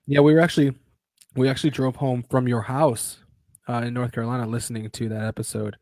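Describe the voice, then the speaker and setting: A young man co-hosting a podcast live stream in casual chat, excitable, swearing freely and restarting his sentences. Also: medium pitch, fast talker, dry recording, studio quality. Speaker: podcast co-host.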